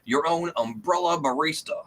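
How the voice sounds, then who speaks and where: A man's voice: on the high side, moderate pace, a bit bright. A man hosting a comedy game show with casual, joking banter.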